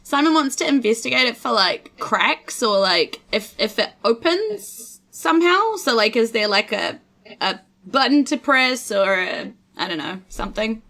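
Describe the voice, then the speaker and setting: A woman's voice: a little high, expressive, unhurried, creaky, sharp tone. A woman with a New Zealand accent chatting casually as a player in a tabletop game.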